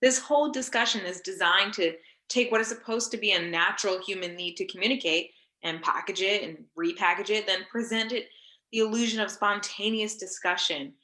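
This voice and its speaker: Actress as a sharp-voiced, haughty woman academic. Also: mid-range voice, fairly even intonation, fairly quick, lightly acted. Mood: scornful. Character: prickly, blunt female academic author, haughty and disdainful. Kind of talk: staged play reading. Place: indoors on a Zoom call.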